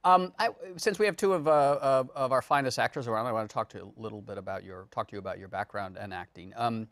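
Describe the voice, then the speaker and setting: A man's voice: on the low side, fairly bright tone, dry recording. Male interviewer, dry close sound. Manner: halting, inquisitive. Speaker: talk show interviewer. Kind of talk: conversational interviewing.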